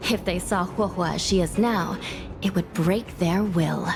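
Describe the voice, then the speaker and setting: A woman voicing a composed, resolute female judge in a video game, measured and authoritative. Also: fairly low pitch, gravelly. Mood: composed, determined. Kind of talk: scripted video game voice acting. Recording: voice under prominent music.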